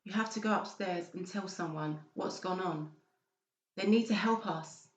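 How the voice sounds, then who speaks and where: Man voicing an urgent young woman, voice pitched up, echoey. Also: normal speed, natural voice. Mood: pleading. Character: earnest injured young woman, urgent and pleading. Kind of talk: dramatised audiobook dialogue. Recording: muffled, echoey home recording.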